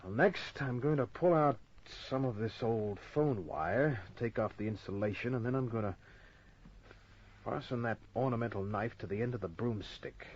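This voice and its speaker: An actor playing a cool, wisecracking private detective, narrating and talking in old-time radio crime drama style with dry sardonic delivery. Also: male voice, medium pitch, normal speed, darkish tone, breathy edge, lightly acted. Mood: calm, busy. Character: wisecracking tough male private detective, cool under threat. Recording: dull, noisy old radio recording.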